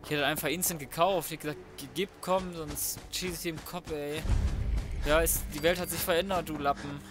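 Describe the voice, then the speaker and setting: A man with a slightly high voice commentates a video game casually. He thinks out loud in slangy, sweary language, with prominent music playing behind him. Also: steady tone, brisk. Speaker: gaming video host. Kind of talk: casual let's play commentary.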